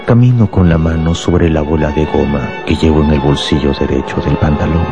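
An actor playing a smug, contemptuous anarchist bomb-maker in a radio drama, speaking deliberately. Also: male voice, extremely low pitch. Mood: menacing, boastful. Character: small shabby anarchist bomb-maker, fanatical, contemptuous, boastful. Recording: loud music behind the voice.

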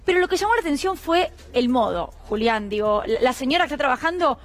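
High-voiced Argentine woman reporting on radio. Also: sing-song, fast talker. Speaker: radio columnist. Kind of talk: news report to a co-host.

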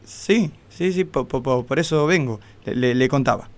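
A man's voice: medium pitch, moderate pace, natural voice. An actor playing an insecure, lovelorn man in a comic radio play, with a heavy nervous stutter and an Argentine accent. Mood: nervous, halting. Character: insecure, lovelorn, short homely man, a detective's client.

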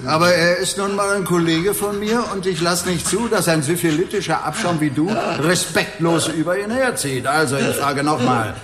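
A man plays a sadistic male cop in a radio drama, his voice fairly high and set in an echoing room. He sneers crude threats and gives orders with bullying menace. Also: fairly bright tone, natural voice. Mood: threatening. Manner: crude, commanding, deliberate threats.

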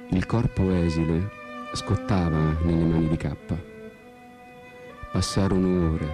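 A man performing a dramatic literary monologue as a radio theatre storyteller, with an ironic, brooding, theatrical manner. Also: sits low. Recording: guitar music behind the voice. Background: guitar music.

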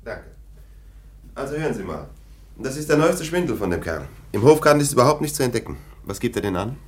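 Husky, brusque, distrustful official. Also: male voice, mid-range voice, big pitch swings, fairly quick. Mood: contemptuous. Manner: brusque, peremptory, scornful. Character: gruff, distrustful, commanding investigating official. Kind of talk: German radio drama acting.

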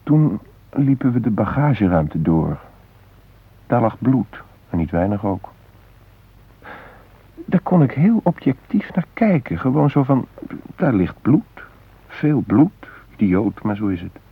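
An actor playing a shaken former hostage, retelling a brush with death in an intense, introspective radio drama monologue. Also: male voice, mid-range voice, big pitch swings, slow pace, breathy edge, dry recording, full character voice. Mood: intense, reflective. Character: shaken male former train hostage recounting facing death. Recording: muffled, narrow-band old radio recording.

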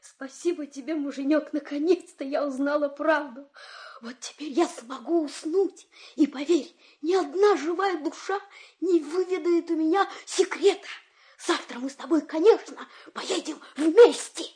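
A woman acts out a stubborn farmer's wife from a folk-tale audio play. Her voice is high, her delivery theatrical, made for nagging and complaint. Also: moderate pace, a little mellow, lightly acted. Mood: satisfied, soothing. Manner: whiny, theatrical.

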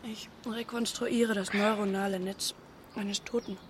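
A woman's voice: medium pitch, flat delivery, a little slow, slight background noise, close to an everyday voice. An actress playing a laconic woman scientist in a radio drama, cool, dryly teasing and probing. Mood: dry, wary. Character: cool, dryly teasing female neural researcher. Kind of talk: naturalistic radio drama dialogue.

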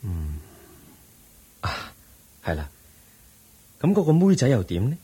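The serene, wise Buddha. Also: male voice, medium pitch, huge pitch swings, takes it slowly, breathy edge, exaggerated voice. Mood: serene, patient. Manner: gentle, patient, questioning like a teacher. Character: serene wise Buddha, calm male teacher. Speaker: Buddha in a radio play. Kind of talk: 1980s-style radio drama acting.

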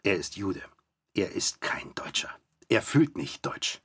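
Hateful young monarchist fanatic. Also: male voice, low voice, sing-song, takes it slowly, fairly bright tone, slightly rough, whispered, heavily acted. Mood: cold, hateful. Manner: clipped, repetitive declarations.

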